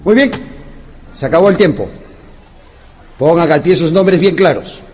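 An authoritative, brisk schoolteacher with a rather high voice. He speaks in radio drama style with long reverb and faint music behind. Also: expressive, darkish tone. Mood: brisk, commanding. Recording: echoey classroom hall, faint music.

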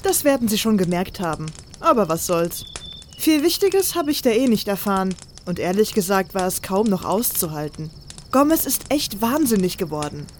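A woman's voice: medium pitch, very animated, normal speed, darkish tone, natural voice. Woman acting plucky rebel spy, noisy recording. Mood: determined. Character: confident, plucky female rebel spy in fantasy drama.

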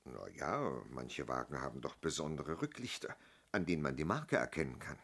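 Husky, deep, hesitant older man. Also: very animated, a bit bright, full character voice. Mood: polite, hesitant. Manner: halting, over-explaining, courteous. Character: polite, hesitant older male robbery victim giving a statement. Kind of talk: German radio play acting.

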